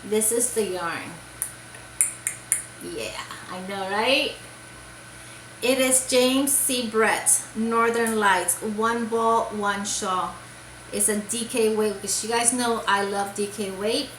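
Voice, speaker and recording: A woman's voice: mid-range voice, takes it slowly, a bit bright, roomy sound, a little hiss or hum, low-quality recording. A woman from Puerto Rico chatting casually about crafts for her video, rambling with many fillers and restarts. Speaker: crochet vlogger. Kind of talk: casual show-and-tell chat.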